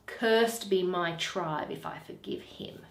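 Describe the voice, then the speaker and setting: A woman's voice: fairly low pitch, slow, a little hiss or hum, voice pushed down. A woman voicing a shrewd, bitter male moneylender in a Shakespeare play, drawing out and weighing each phrase. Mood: bitter, hateful. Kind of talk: dramatic Shakespeare reading. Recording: roomy echo.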